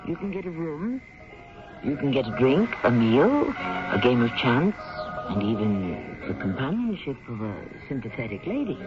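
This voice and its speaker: An American man hosting and narrating a radio mystery drama in a measured, theatrical, wry manner. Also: medium pitch, big pitch swings. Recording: muffled radio broadcast with music behind. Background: music.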